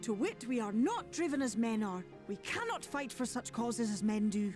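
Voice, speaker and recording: A woman's voice: a little high, sing-song, normal speed, a little mellow, soft background music. A woman voicing a capricious, ageless fairy in a fantasy game, with lilting, formal, archaic phrasing. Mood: aloof, airy. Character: capricious ageless female fairy, playful and aloof. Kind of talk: scripted fantasy game acting.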